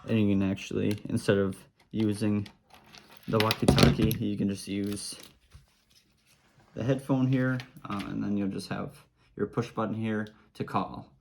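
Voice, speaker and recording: Low-voiced man casually demonstrating a product. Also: little pitch movement, unhurried, vocal fry, background noise. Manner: informal, easygoing explaining in simple steps. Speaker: product reviewer.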